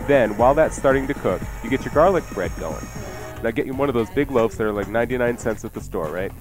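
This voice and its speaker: A man's voice: medium pitch, animated, fast. A man hosting a radio cooking show, talking in a casual, chatty, colloquial way. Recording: radio broadcast with music.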